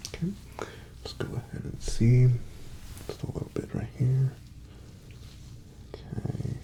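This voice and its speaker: A man doing a soft-spoken ASMR doctor roleplay, gently reassuring as he gives unhurried instructions, often slipping into a breathy whisper. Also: mid-range voice, flat delivery. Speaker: ASMR performer playing a doctor.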